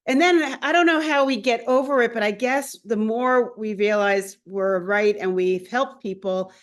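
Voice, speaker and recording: A woman's voice: a little high, measured pace. Earnest woman hosting an interview. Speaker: astrologer and show host. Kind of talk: interview conversation.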